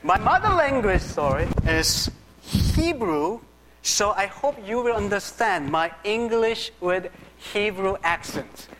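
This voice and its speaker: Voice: mid-range voice, animated, slow, a bit bright, a little hiss or hum. A pastor playing Joshua, a fretful young Israelite. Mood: earnest. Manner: fretful, stammering, speaks to the audience directly. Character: Joshua, anxious young Israelite man assisting Moses. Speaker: pastor acting out a biblical figure in first person. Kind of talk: first-person dramatic monologue in a sermon. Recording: echoey hall reverb.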